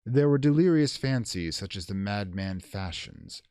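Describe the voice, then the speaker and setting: A man narrating a classic gothic horror tale in a theatrical, measured, formal storytelling style. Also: on the low side, expressive, warm tone, clear, resonant tone, no echo, clean studio sound. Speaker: audiobook narrator.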